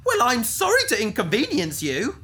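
A woman's voice: fairly high pitch, huge pitch swings, moderate pace, fairly bright tone. A woman playing an indignant, pleading captive in a science fiction audio drama, speaking in an earnest, protesting way. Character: indignant, pleading female captive victim on operating table.

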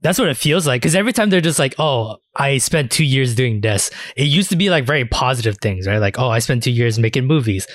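A man co-hosts a casual podcast in a medium-pitched voice. His speech is informal and peppered with swearing, and the sound is dry with little room to it. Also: animated, fairly quick, few pauses, no room sound. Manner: profane, informal slang. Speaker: podcast co-host. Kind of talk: casual anime discussion chat.

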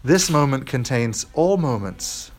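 A man voicing a calm angel who speaks slowly and gravely in a lowered voice. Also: a little hiss or hum. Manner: slow, grave, measured. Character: calm, burning male angel.

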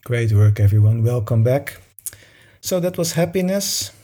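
Husky, dark-voiced man leading meditation. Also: medium pitch, lively intonation, a little slow. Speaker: meditation session leader. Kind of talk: guided meditation and informal talk to a group.

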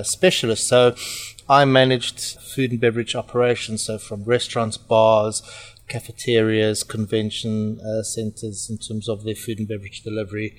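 A middle-aged man with a South African accent and Portuguese roots, talking earnestly as a podcast guest about his work. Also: lowish voice. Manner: earnest, reflective, with fillers. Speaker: podcast guest, franchise owner. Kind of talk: casual interview talk.

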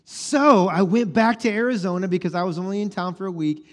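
Pastor, 40s, male, slightly high voice, chatty storytelling sermon, long hall reverb. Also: fairly quick, fairly bright tone. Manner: conversational storytelling, acts out dialogue.

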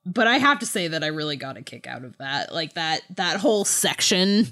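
A woman's voice: low-pitched, animated, fairly quick, bright, forceful tone. A woman co-hosting a podcast in a casual book chat, with a playful, giggly manner. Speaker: podcast co-host.

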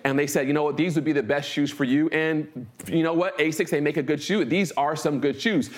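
A man's voice: on the high side, a little fast. An American man of forty giving a conversational talk, informal and emphatic, repeating phrases and checking in with his listeners. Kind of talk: conversational motivational talk with personal anecdotes.

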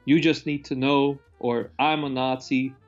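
A man's voice: medium pitch, steady tone, unhurried. A man co-hosting a film discussion, talking casually in a scornful, sweary, opinionated way. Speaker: film discussion show co-host. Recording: dull lo-fi mic, faint music.

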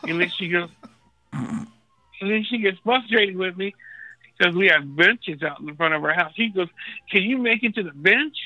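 Raspy, bright-voiced man telling a story. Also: high voice, normal speed, long pauses, no room sound, lo-fi sound. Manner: laid-back, slangy, laughing at his own story. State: thirsty, unfed for a day. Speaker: guest calling in from a hospital bed. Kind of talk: casual storytelling chat. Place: hospital room.

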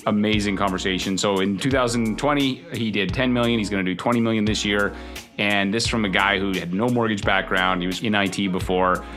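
A man's voice: fairly low pitch, fairly even intonation, fairly bright tone, faint music behind. A man hosting a podcast interview, talking in a casual, upbeat way full of fillers. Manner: upbeat, fast talk with many fillers like "like". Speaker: podcast host.

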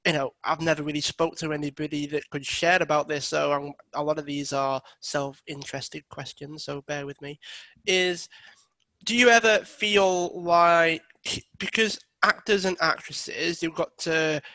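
Man, podcast host, somewhat high voice, hesitant, dry close sound. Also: steady tone, a little slow, bright, forceful tone, no echo, low-quality recording. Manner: hesitant, rambling questions. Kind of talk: interview questions.

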